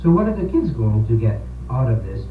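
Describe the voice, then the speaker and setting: A man, a priest co-hosting a local radio discussion, speaking earnestly and haltingly with repeated words on a 1970s recording. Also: mid-range voice, very animated, recorded from a distance. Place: radio program, distant-sounding and echoey. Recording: tinny, echoey 1970s radio aircheck, humming noise.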